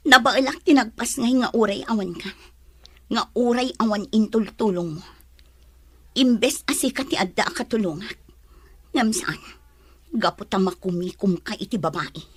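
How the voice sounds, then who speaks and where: A woman's voice: mid-range voice, husky, no echo, natural voice. A woman playing a hurt, betrayed young mother in an audio drama, plaintive and reproachful. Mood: bitter, reproachful. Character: hurt, betrayed young mother who just gave birth, Megan. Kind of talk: Ilocano audio drama acting.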